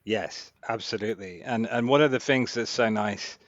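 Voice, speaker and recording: A man's voice: fairly low pitch, normal speed, no echo, highs cut off. A man hosting a podcast interview, affirming his guest and asking about her background. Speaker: podcast host and editor. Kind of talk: casual interview chat.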